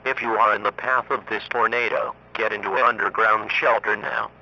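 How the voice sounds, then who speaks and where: A man reading scripted emergency weather warnings in a formal, monotone synthetic voice. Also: fairly low pitch, moderate pace, gravelly. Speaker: emergency alert announcer. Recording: muffled lo-fi radio broadcast, faint noise.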